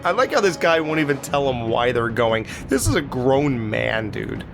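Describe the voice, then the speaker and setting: An adult man swaps jokes in a casual film review podcast, crude and playful as he retells scenes. His voice sits at a mid pitch. Music plays clearly behind him. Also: very animated, moderate pace. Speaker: podcast co-host. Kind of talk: casual movie review chat.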